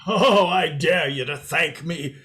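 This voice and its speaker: A man playing a stern, indignant gentleman in a radio play, using formal period speech. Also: on the high side, slow.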